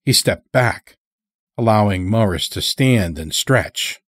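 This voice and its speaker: A man's voice: fairly low pitch, animated, takes it slowly, a bit bright, no room sound, clean studio sound. A man narrating scripted fiction as a dramatic storyteller.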